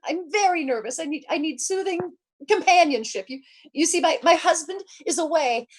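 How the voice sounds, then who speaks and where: A woman's voice: extremely high pitch, normal speed. A woman playing an anxious, demanding, self-pitying invalid wife in old-time radio suspense style, stammering nervously and repeating herself. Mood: agitated, insistent.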